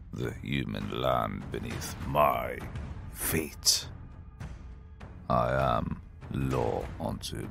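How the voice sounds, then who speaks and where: An actor playing an arrogant, menacing dragon in humanoid form in a fantasy audio drama, pompous and grandiose, with a gravelly voice. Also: male voice, very deep voice, pushed and bright, exaggerated voice.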